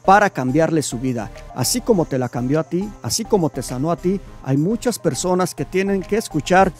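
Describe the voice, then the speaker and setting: A man's voice: on the high side, lively intonation, fairly quick, faint music behind. A man hosting a religious program in a studio, speaking in an earnest, inviting way into a stand mic. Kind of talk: preaching invitation.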